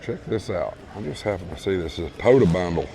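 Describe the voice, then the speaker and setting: A hoarse man losing his voice, casually walking viewers through a large store and calling them folks. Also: low voice, lively intonation, quick pace, warm tone, a little hiss or hum. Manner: easygoing, repeats praise, addresses viewers as folks. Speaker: vlog host touring a store. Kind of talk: casual walkthrough narration. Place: large retail store indoors. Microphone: handheld phone camera.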